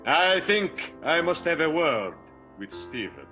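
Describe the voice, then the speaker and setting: A man acting a melodramatic, guilt-ridden gentleman in an improvised 1920s radio soap, with halting, ornate phrasing. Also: fairly high pitch, sing-song, over music. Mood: sheepish, grave. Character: melodramatic gentleman confessing to his darling, grandly guilt-ridden.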